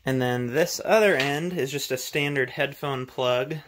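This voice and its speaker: A man's voice: medium pitch, unhurried, fairly bright tone, a little hiss or hum. A man in his thirties talking casually through a hands-on tutorial step by step, recorded in a small home workshop room. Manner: explains step by step in plain words. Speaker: hobbyist video host.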